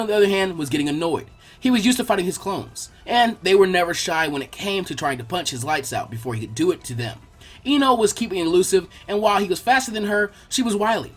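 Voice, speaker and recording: A man reading a scripted action story aloud as its narrator. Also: high-pitched.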